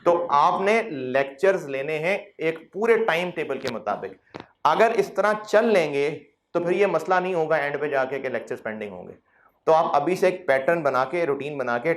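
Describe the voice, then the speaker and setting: A male lecturer addresses his students in a classroom. His voice sits a little high, and he talks in a relaxed, conversational way, coaxing the class and teasing them with mock quotes. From Pakistan. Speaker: accounting lecturer.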